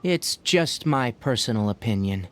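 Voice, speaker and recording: An earnest young ranger played by a man speaks at a middling pitch. He is scholarly, measured and serious, every phrase articulate, as in scripted video game dialogue. A clear rumbling noise sits behind the voice. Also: lively intonation, natural voice. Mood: serious, explanatory.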